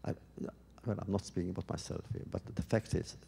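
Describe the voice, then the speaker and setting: A man answering audience questions at a public talk, speaking thoughtfully and haltingly with many restarts. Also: low-pitched, steady tone, creaky, dark tone, husky, big-room sound.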